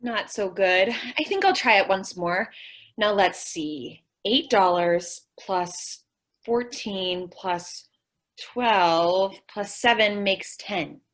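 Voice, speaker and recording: A woman's voice: medium pitch, slow, a bit bright. A woman playing a naive, scatterbrained and stubbornly optimistic young woman in an old-time radio comedy. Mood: earnest, puzzled.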